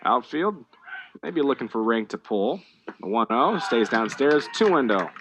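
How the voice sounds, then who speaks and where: An American man calling live baseball play-by-play, conversational between pitches and more animated on the action. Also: unhurried, background noise. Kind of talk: live sports commentary.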